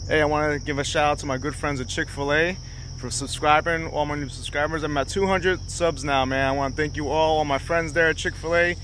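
A man's voice: on the high side, a little fast. Chatty man fishing from a boat. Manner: excited, chatty, talks to the camera. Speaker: fishing vlogger. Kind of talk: casual narration while fishing. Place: small boat on a lake outdoors. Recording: faint outdoor noise.

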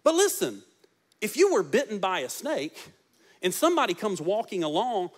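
A man in his forties preaching a sermon as pastor on a large church stage into a handheld mic, swinging between loud emphatic lines and soft, low ones. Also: big pitch swings, moderate pace.

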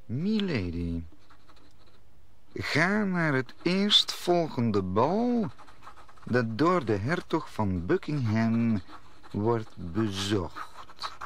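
A man's voice: medium pitch, sing-song, extremely slow, pauses often, exaggerated voice. A man playing a scheming, authoritative cardinal in a radio play, formal and commanding.

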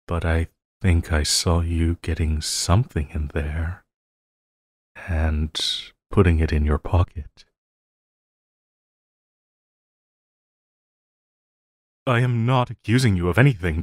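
A man acts out a lovesick, possessive villain in an audio roleplay. His deep voice moves slowly and haltingly as he pleads with his beloved hero in endearments. The sound is close and dry. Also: darkish tone, no echo. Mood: careful, suspicious. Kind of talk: intimate audio roleplay acting.

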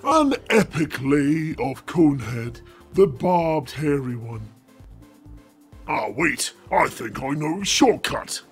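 Theatrical man with lively, swooping pitch. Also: slow pace. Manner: theatrical, declaiming. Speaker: story reader. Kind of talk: dramatic comic reading of a mock-epic. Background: music.

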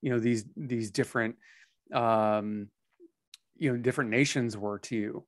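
A man's voice: mid-range voice, steady tone, a little slow, no echo. An American man in his forties hosts with a mid-range voice, circling his questions with plenty of fillers. The close headset mic keeps the sound dry and near. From United States. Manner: rambling, hesitant, many fillers. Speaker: podcast host. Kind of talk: casual interview conversation. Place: small home studio room.

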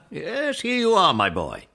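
A man's voice: high voice, wildly swooping pitch, slow pace, creaky, bright, forceful tone, slightly rough, voice pushed up, heavily acted. A man playing a jolly, kindly old British general with a hearty manner in a children's audio story. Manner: hearty, chuckling exclamations.

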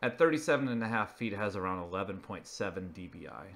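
A man with a fairly low voice narrates an informal slideshow video. He explains things point by point in a plain, matter-of-fact manner, like an everyday presenter working down a list. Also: expressive, quick pace, fairly bright tone.

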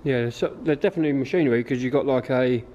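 A man's voice: medium pitch, very fast, warm tone, muffled recording. Chatty man exploring an abandoned farm. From England. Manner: chatty, wondering aloud, talks to viewers. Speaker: urban explorer vlogger. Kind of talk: casual exploring commentary. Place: outdoors and in derelict farm barns.

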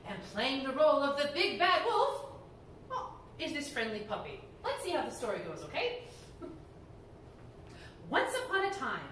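A young woman reads a children's story in a high, very animated voice with theatrical emphasis. She is recorded in a strongly echoing room, some distance from the microphone. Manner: theatrical, expressive, emphatic delivery. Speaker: library storyteller, reader. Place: indoor room before folding screen. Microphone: camera microphone a few metres away. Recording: echoey room, distant mic, background noise.

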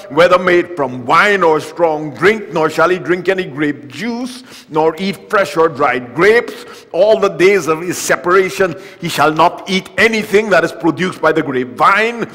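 An older man preaching a sermon at a church lectern, with wry humor, reading scripture loudly and dropping to quiet asides. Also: a little high. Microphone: lectern mic, far camera. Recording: long church hall reverb.

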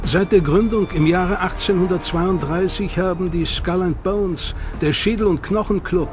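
Higher-pitched male documentary narrator. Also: moderate pace, faint music behind.

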